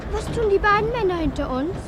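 A woman's voice: very high voice, lively intonation, fast talker. An actress playing a small, anxious and curious girl in a radio crime drama, with a childlike questioning manner. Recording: clear outdoor vehicle noise behind.

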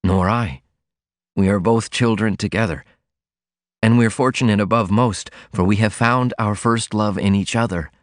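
A man's voice: lowish voice, moderate pace, dry recording, clean studio sound, natural voice. A man playing an ardent young man madly in love, earnest and fervent, in audiobook dialogue. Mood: confident, loving.

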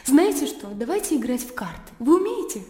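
Radio play role: naive, direct young lady, soft-toned and high, coaxing, in an echoing room. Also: sing-song, brisk. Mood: artless, coaxing. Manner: simple, frank, coaxing questions. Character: artless, direct, lively young country gentlewoman. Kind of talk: Russian radio drama acting. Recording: echoey reverberant room.

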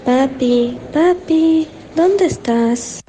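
High-pitched vengeful angel girl played by a young woman, solemn, mocking, horror drama, clear background noise. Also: drawn-out words, dark tone. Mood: mocking sweetness. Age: teenager. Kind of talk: student radio horror story acting. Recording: noisy home recording.

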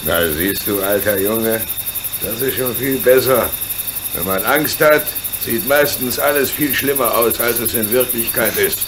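A man playing a calm, fearless, good-natured engine driver in a German radio play, speaking reassuringly. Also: medium pitch, a little slow, noisy background, lightly acted.

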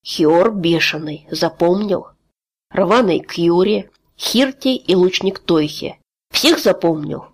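A woman voicing a weary, grim male executioner who speaks slowly, quietly and with resignation, in an audiobook. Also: on the low side, husky, heavily acted. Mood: grave.

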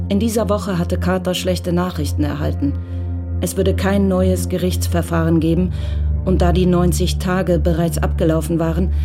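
A woman giving calm, measured scripted narration for a radio crime drama. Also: fairly low pitch.

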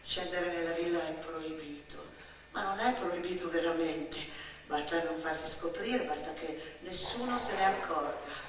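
A woman in a theatrical radio monologue, playing a dramatic, brooding little girl who speaks poetically in deliberate short phrases. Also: lowish voice, lightly acted. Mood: eerie wonder. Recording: echoey stage sound, distant, faint noise.

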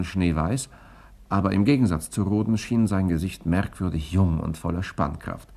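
An actor playing a cool, wry journalist in a 1960s German radio crime serial, with a gravelly, husky voice. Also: male voice, deep voice, a little mellow. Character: cool, wry male journalist telling his own crime story. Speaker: lead actor and narrator.

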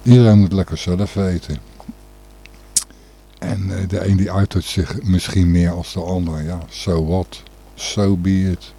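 A man's voice: low voice, steady tone, measured pace, creaky, mellow, husky. A man the hosting a radio show with casual, rambling, blunt and opinionated talk.

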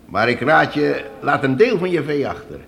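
An actor playing a practical, plain-speaking fort trader in a radio play, giving matter-of-fact advice. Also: male voice, a little high, expressive, normal speed, creaky, a bit bright. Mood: grave advice. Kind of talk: Dutch radio play acting. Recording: noisy old radio play recording.

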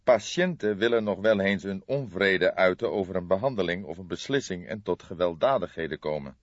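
A probing, kindly police detective in an audiobook, acted by a male narrator, measured and insistent. The voice sits fairly low, with a close, dry and slightly dull sound. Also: expressive, dry recording. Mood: calmly probing.

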